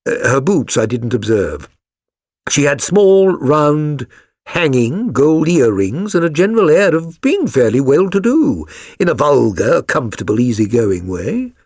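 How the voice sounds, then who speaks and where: A man voicing an earnest, observant gentleman companion in an audiobook, with a British accent and a careful, hesitant way of describing things. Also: on the high side, very animated, measured pace, dry recording, studio quality. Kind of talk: dramatised audiobook reading.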